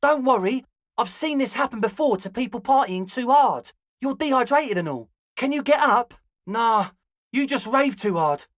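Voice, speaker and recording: A man's voice: very high voice, animated, a bit bright, no echo, clean studio sound. A male actor voicing a young, slangy club hustler in a video game, conciliatory and laid-back with a pleading manner. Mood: friendly, reassuring. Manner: slangy, placating, drawn-out pleading. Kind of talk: video game character dialogue.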